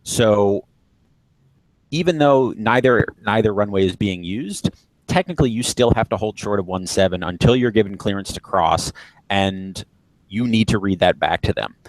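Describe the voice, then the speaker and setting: Low-voiced man teaching informally. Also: lively intonation, moderate pace, no room sound, poor audio. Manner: explanatory, emphatic repetition for stress. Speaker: flight instructor. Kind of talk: informal live lesson on radio procedures.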